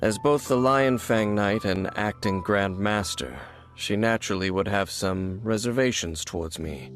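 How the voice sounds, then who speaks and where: An actor voicing a composed, secretive scholar who explains things in a measured way, in a video game cutscene. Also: male voice, fairly low pitch, close to an everyday voice. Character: calm, secretive man, a learned scholar. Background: game music.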